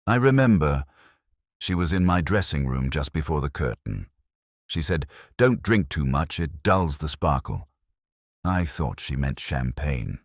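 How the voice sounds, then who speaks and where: A male narrator reads the part of a trusting, shaken actress in an audiobook story. The delivery is pleading and hesitant, moving expressively in pitch, in a dry recording. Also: sing-song, a little slow, dry recording, exaggerated voice. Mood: shaken realization. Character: shaken, trusting young actress, fragile and earnest. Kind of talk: audiobook character dialogue.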